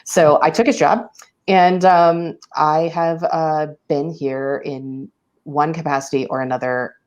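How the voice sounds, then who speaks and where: A woman in her forties from Oklahoma, a radio station director giving plain-spoken, steady interview answers with frequent ums. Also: low voice, measured pace.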